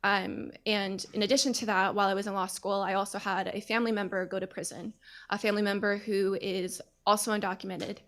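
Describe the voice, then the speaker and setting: A woman in her thirties opens a panel discussion with a personal story. She talks earnestly and at a measured pace, with frequent hesitations. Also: mid-range voice, little pitch movement, a bit bright. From United States. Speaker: panel moderator.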